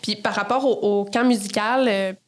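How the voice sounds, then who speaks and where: A woman's voice: medium pitch, steady tone, moderate pace. Female podcast host, Quebec French accent, mid-range voice, conversational interview delivery.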